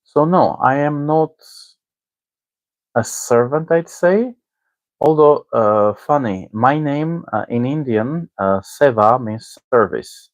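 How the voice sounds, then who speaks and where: Male discussion host, native Armenian speaker, medium pitch, hesitant casual delivery with frequent check-ins, dry recording. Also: animated, slow, no echo, muffled recording. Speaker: online teacher and discussion host. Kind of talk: casual spoken commentary on a book.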